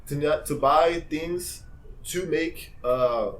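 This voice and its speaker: A man's voice: fairly high pitch, drawn-out words, a little hiss or hum, low-quality recording. A young man, teaching English in a casual, informal vlog full of fillers and asides. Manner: lively, addresses viewers directly.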